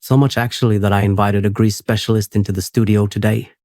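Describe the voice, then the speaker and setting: A man hosting a sleep podcast, reading a script in a steady, unhurried, soothing way. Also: fairly low pitch, dry recording, clean studio sound.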